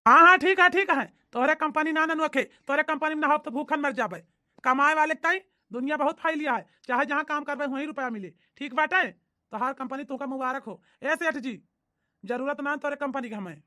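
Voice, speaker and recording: A woman's voice: high-pitched, fairly quick, pushed and bright. A woman voicing plain-spoken villagers in a family comedy cartoon, with an emphatic, declamatory Awadhi-accented delivery. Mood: aggrieved. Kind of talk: exaggerated cartoon comedy dialogue.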